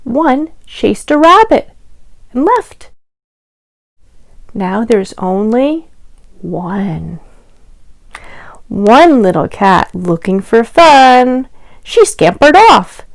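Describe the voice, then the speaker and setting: A woman reads aloud to young children in an expressive, interactive storytime style. Her pitch is highish and very animated, and she goes very slowly, leaving room after questions. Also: no room sound. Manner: sing-song, expressive, asks questions and pauses for answers. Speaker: library storytime reader.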